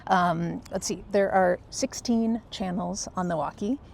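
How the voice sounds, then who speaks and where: A soft-spoken, low-key woman explaining her work casually in a vlog, pausing hesitantly as she goes. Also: gravelly, a little hiss or hum. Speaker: film crew first assistant director.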